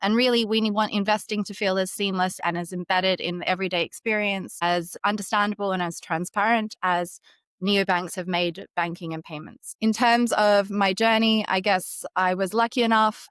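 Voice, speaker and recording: A woman's voice: fairly low pitch, fairly bright tone, clean tone, no echo. A woman with an Australian accent, answering interview questions about her career in an articulate, upbeat way. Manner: articulate, upbeat, laughs lightly while telling stories. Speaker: fintech executive guest.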